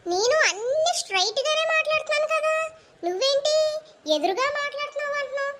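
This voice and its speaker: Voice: extremely high pitch, expressive, fast talker, very noisy. A performer voicing a cheeky, argumentative little schoolboy in a comedy cartoon, with a Telugu accent and a mock-innocent manner. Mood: cheeky back-talk.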